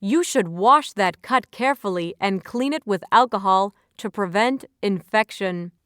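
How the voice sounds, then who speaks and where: A woman reading scripted vocabulary entries and example sentences as an educational narrator, enunciating carefully in a measured way. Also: mid-range voice, animated, a bit bright, clear, resonant tone, no room sound.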